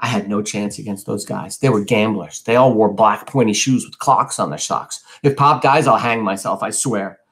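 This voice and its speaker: A man's voice: fairly low pitch, moderate pace, hardly any pauses, fairly bright tone. Man acting troubled, hot-tempered older brother. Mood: despairing. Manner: blunt, emotional, streetwise.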